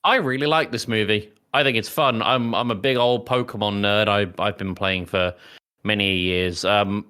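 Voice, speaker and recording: A man's voice: on the low side, fairly even intonation, normal speed, sharp tone. Guy hosting a film podcast, chatty and jokey, just hanging out talking movies. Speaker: podcast host. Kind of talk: casual film discussion.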